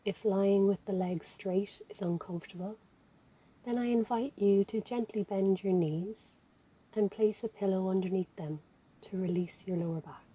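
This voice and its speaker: A woman with an Irish accent leading a guided meditation as an instructor, speaking in a gentle, soothing, unhurried manner. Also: medium pitch, slightly rough, poor audio. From Ireland. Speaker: physiotherapist and yoga instructor leading relaxation.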